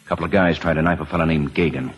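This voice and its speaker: Federal agent: extremely low, husky, terse and businesslike, old radio drama. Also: male voice, steady tone, very fast. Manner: terse, matter-of-fact. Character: clipped, businesslike American Treasury Department agent. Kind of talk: 1940s radio acting. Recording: muffled vintage radio recording.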